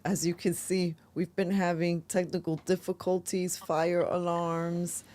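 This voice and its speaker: Lady host on a livestream, lowish voice, chatty, lots of ums. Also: level tone, a little slow, noisy background. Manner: chatty, laughs easily, trails into fillers. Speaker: podcast host. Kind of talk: casual livestream chat.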